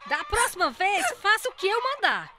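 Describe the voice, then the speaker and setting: A woman playing a strict, brisk farm aunt in a radio drama, commanding and emphatic. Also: extremely high pitch, very animated, heavy background noise. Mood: stern.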